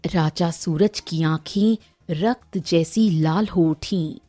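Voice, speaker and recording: A female narrator reads a scripted story. Her voice is dark and husky and moves at a slightly slow pace, with music in the background. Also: lowish voice.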